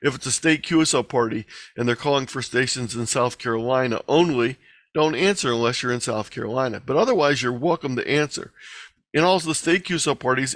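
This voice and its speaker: A man presents an instructional online talk in a medium-pitched voice. He explains things plainly, one step after another, as if guiding learners through slides. The video-call sound is dry, with very little room around the voice. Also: a bit bright, dry recording. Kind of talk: explanatory slide lecture, lightly extemporized. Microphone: video call microphone.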